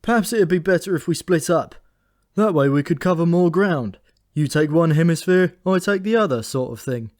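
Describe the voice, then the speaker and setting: A grumbling raven from a comic fantasy storybook, acted out by a man, pitched up and irritable. He talks bluntly and colloquially, like someone fed up with his job, in a dry, close recording. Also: animated, normal speed, darkish tone, no echo, lightly acted. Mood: impatient. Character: grumbling, short-tempered, world-weary male talking raven. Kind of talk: comic fantasy audiobook character voice.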